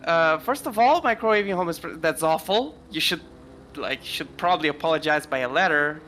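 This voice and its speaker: A man's voice: fairly high pitch, lively intonation, fairly quick, pushed and bright, a little hiss or hum. A man co-commentating a sim race on a live stream, playful and often laughing.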